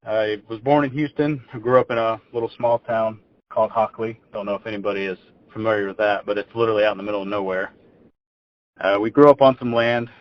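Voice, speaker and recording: A man's voice: fairly low pitch, steady tone, fast. A man, telling his story as a podcast guest in a rambling, casual way. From Texas. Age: 20s. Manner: rambling, repeats words when starting phrases. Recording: dull lo-fi audio.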